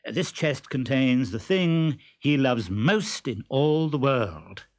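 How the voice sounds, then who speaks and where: A man's voice: medium pitch, animated, takes it slowly, darkish tone. A man reading a storybook aloud, voicing a kind-hearted, cheeky young male servant with a polite, sly manner.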